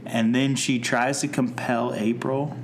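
Deadpan man recapping an episode. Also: mid-range voice, a little slow, noisy background. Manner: dry, deadpan jokes, reads plot notes aloud. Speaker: podcast co-host. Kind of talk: casual chat recapping a TV episode.

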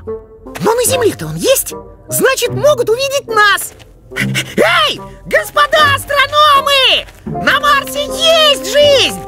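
Squeaky, spirited young cartoon animal. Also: female voice, wildly swooping pitch, takes it slowly, over loud music, full character voice. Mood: urgent. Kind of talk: animated cartoon character acting.

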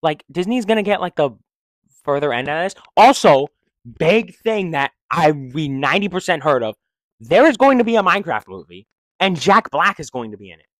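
Young male vlogger, slangy and rambling, lively pitch, fairly high voice, dry close handheld mic. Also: normal speed, no echo, rough recording. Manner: rambling, restarts sentences, slangy and excitable. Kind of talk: casual opinion vlog. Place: living room, seated in armchair. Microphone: handheld mic held close.

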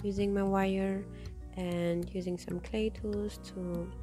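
A woman with a deep, husky voice, hoarse from a cold, explaining each step of a craft tutorial, with small slips. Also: flat delivery, slow pace, mellow, soft background music. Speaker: craft channel host.

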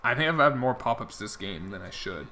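Young man, game streamer, bright voice, rambling casual chat, voice wearing out. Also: mid-range voice, lively intonation, fairly quick. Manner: rambling, talks to chat viewers by name. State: losing his voice. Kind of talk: casual chat while playing.

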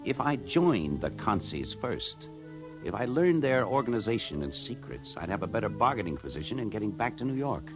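An actor with an American accent playing a cynical, wry advertising executive who narrates a 1950s radio drama. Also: male voice, lowish voice, sing-song, normal speed, rough recording. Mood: wry, tense narration. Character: self-respecting, cynical advertising executive on the run. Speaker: narrator and lead. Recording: 1950s radio drama, loud music underneath.

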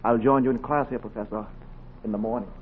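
A man's voice: mid-range voice, steady tone, natural voice. Man acting dignified doctor on thin old radio. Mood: quietly bitter. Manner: measured, articulate, polite. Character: dignified, composed young male doctor, quietly defiant. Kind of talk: 1940s radio drama acting. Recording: narrow-band, faintly noisy 1940s radio recording.

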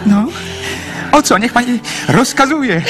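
A lonely, ardent young dreamer in a radio play. His delivery is unhurried and halting, with a very lively pitch that swings fervently. Music is clearly heard underneath. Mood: eager, devoted. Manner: fervent, halting, swooping between hushed and elated. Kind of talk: radio drama acting.

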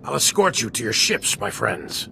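A man voicing a grave, tense military leader in a video game, with a gravelly voice. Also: big pitch swings, moderate pace, bright, forceful tone, exaggerated voice. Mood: courteous. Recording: faint background rumble.

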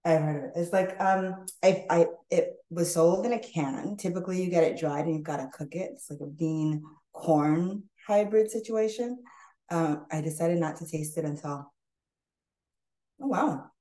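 A woman's voice: lowish voice, moderate pace. Chatty woman chef in an echoey kitchen. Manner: chatty, loose and animated, talks while cooking. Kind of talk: live online cooking demo. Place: home kitchen. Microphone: webcam on a video call.

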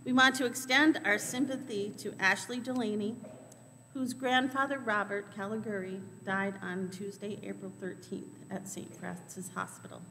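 A middle-aged woman reading the weekly announcements at a church lectern in a measured way. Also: medium pitch, steady tone, pushed and bright. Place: large church sanctuary, indoors. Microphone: lectern microphone. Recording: long church reverb, faint background noise.

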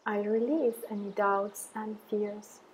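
A woman guiding an affirmation meditation in a soothing, measured way, with long pauses between deliberate phrases. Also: mid-range voice, steady tone, a little hiss or hum, rough recording. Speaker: meditation guide.

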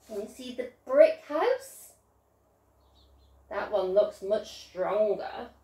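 A woman's voice: mid-range voice, expressive, slow, mellow. A woman reading a children's story in a sing-song, emphatic way, as if to young children. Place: small living room, indoors. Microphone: distant camera. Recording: roomy echo, far from the mic.

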